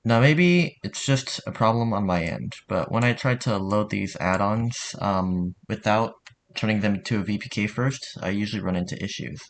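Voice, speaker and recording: A man narrating a software tutorial in an informal, somewhat hesitant way. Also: lowish voice, normal speed, a little hiss or hum. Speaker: tutorial host.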